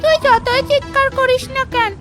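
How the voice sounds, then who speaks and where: A woman's voice: very high-pitched, steady tone, moderate pace, pitched above the performer's own voice. A woman voicing a sly, bossy female witch-ghost in a children's fairy tale cartoon, taunting and boastful. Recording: loud music behind the voice.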